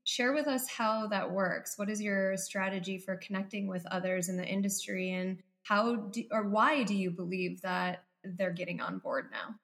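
A woman's voice: medium pitch, moderate pace, hardly any pauses, fairly bright tone. Woman host asking long layered questions. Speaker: podcast host. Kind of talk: interview conversation.

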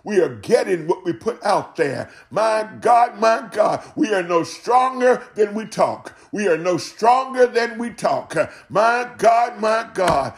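Male preacher, really high voice, slow fervent sermon, swings up and down, repeats lines hard. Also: expressive. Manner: exclamatory, repeats phrases emphatically for effect. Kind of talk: fervent Pentecostal-style sermon.

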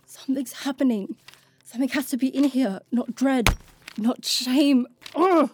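Woman playing anxious young fantasy hero girl, audio drama, mellow voice, slow halting talk, kinda unsure but determined. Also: high voice, a little hiss or hum. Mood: anxious searching. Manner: hesitant, self-questioning, plain-spoken.

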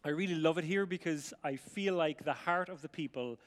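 A man's voice: a little high, a little fast. Storytelling Irish man in a hall. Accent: Irish, rural midlands. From Ireland. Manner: chatty, self-mocking, acts out dialogue. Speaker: speaker sharing his life story. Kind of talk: storytelling talk to a live audience. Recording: reverberant hall sound.

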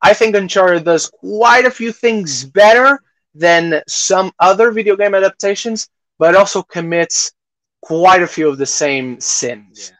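Male podcast co-host, high-pitched voice, dry close sound, emphatic and opinionated in casual film chat. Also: expressive, unhurried, fairly bright tone, no echo, poor audio. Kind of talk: casual movie discussion.

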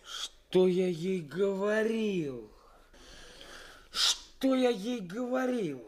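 Актёр в радиоспектакле 1980-х играет молодого жениха, который строит из себя наглого авантюриста, с театральной манерой и паузами. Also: male voice, high-pitched, expressive, exaggerated voice. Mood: dismayed, pleading. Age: young adult. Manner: deliberate, theatrical, halting pauses. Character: young actor suitor playing a brazen swindler. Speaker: radio drama actor. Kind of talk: 1980s Soviet radio play acting.

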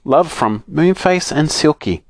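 Eager male fantasy creature, storybook, man performing: friendly, coaxing, high, very animated pitch. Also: unhurried, no echo, studio quality. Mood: eager, inviting.

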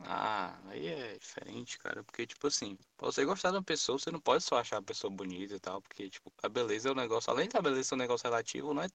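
A seventeen-year-old young man, hosting a casual podcast chat and telling rambling, animated stories full of slang. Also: medium pitch, very fast, creaky, few pauses. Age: teens. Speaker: podcast host.

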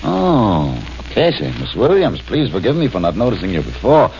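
A man's voice: on the low side, huge pitch swings, normal speed, a little mellow, a little hiss or hum. An actor playing a suave male gangster boss who is falsely polite, in 1940s radio acting on an old radio recording. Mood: smooth. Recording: dull old radio broadcast.

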